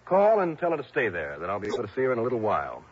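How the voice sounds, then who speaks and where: An actor playing a dogged, wry insurance investigator in a mid-century radio detective drama, clipped and world-weary. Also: male voice, mid-range voice, wildly swooping pitch, very fast, breathy edge. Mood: brisk, insistent. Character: dogged, wry male insurance investigator narrating his case. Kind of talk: 1950s-60s radio detective drama acting. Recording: muffled old radio broadcast recording.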